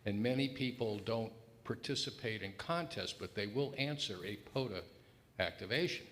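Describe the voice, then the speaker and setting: A man's voice: moderate pace, a bit bright. A man speaking informally from the audience, asking questions and commenting at a meeting. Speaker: audience member in discussion. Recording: echoing hall, noisy with low rumble.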